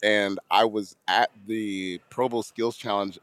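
A hoarse, gravelly man co-hosting a casual podcast. He speaks in a lowish register and stumbles over repeated words between chuckles. The recording is close and dry, with no room. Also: fairly even intonation, slow pace, fairly bright tone, no echo.

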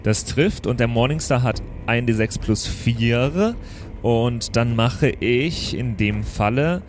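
A man playing a tabletop game, chatting casually and thinking aloud in a halting way. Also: medium pitch, a little hiss or hum. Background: faint background music.

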